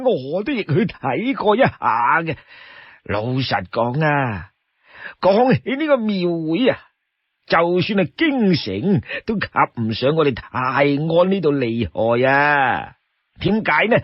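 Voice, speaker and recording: Man voicing a slow-talking, husky old Cantonese townsman. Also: on the high side, dry recording, highs cut off, exaggerated voice. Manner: drawn-out speech full of interjections and chuckles. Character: old townsman, easygoing, money-minded, chatty and boastful.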